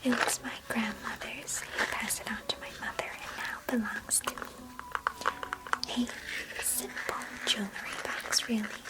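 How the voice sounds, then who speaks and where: A woman's voice: a little high, poor audio. A woman playing a chatty, doting young cousin in an ASMR roleplay, whispering breathily with playful grandeur.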